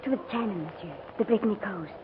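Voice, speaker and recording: A woman's voice: medium pitch, fast talker, dull audio, close to an everyday voice. Through a thin, slightly noisy old broadcast comes a woman acting a young Frenchwoman. She is earnest and guarded, speaking in the manner of 1940s radio drama. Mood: solemn.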